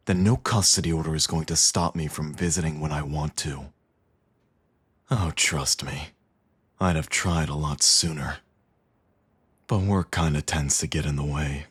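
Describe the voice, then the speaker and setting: A man's voice: extremely low pitch, breathy edge, dry recording, exaggerated voice. A man playing a cocky villain in an intimate audio roleplay, with a teasing, smug, flirtatious drawl.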